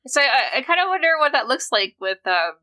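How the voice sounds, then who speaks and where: A woman's voice: sits high, big pitch swings, brisk, sharp tone. A woman co-hosting a podcast, asking curious follow-up questions with small restarts. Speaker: podcast co-host. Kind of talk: conversational interview questions.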